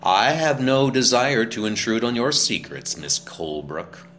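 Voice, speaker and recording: A man's voice: medium pitch, lively intonation, takes it slowly, voice pushed up. Man doing a sour jealous spinster. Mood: acrid. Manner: acrid, loud and sanctimonious.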